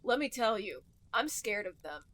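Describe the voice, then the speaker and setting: Woman, podcast co-host, mid-pitched voice, casual chat, giggly, hedging, dry close recording. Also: sing-song, moderate pace, fairly bright tone, no room sound.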